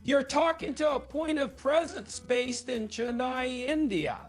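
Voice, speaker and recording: A man voicing a befuddled, indignant phone caller through a voice changer, halting, repetitive and argumentative on an improvised prank call. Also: very high voice, soft background music. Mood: incredulous. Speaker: scambaiter.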